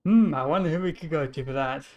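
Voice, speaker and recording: A woman's voice: low voice, fast talker, muffled recording, slight background noise. A woman doing casual gameplay commentary as a streamer, with loose, hesitant phrasing. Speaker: game streamer.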